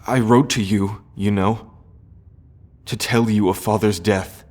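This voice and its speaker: Low, slow-spoken earnest young prince acted by a man. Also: steady tone. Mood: regretful. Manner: gentle, formal, measured with weighty pauses. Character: young adult prince, earnest, sorrowful, loyal brother. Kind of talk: fantasy audio roleplay acting.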